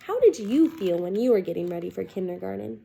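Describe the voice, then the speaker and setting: A woman reading a children's picture book aloud as a teacher, expressive and deliberate, pausing to put questions to young listeners. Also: medium pitch, gravelly.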